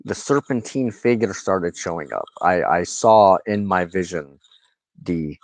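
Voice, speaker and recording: A man with a very low voice talks slowly and reflectively, as if to his own viewers. He halts and pauses mid-sentence as he rambles through his thoughts. The sound is dry and close. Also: expressive, dry recording, low-quality recording. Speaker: host of a personal spiritual channel, wand crafter. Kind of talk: rambling informal monologue to viewers.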